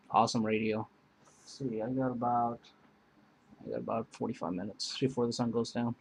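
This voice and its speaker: A man casually demonstrating and comparing two emergency radios, rambling with many pauses and fillers. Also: medium pitch, darkish tone, poor audio. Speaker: product reviewer. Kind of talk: casual product demo.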